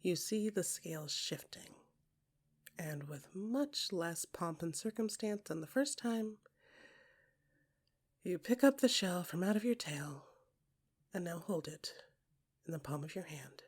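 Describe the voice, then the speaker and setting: A woman narrating scripted second-person fiction in an intimate, dramatic way, with a breathy voice that often drops into whispers and deliberate short phrases. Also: fairly low pitch, lively intonation. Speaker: podcast creator and narrator.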